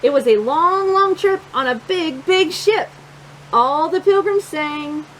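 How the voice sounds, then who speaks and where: A woman reading a picture book aloud for young children, expressive and encouraging, pausing to put questions to her listeners. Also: sits high, a little hiss or hum, poor audio. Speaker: children's storytime reader.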